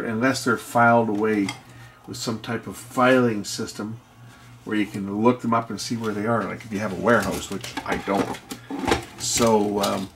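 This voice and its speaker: A middle-aged or older man giving casual, unscripted repair commentary, rambling and halting as he thinks aloud. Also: lowish voice, fairly bright tone, a little hiss or hum. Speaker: electronics repair video host.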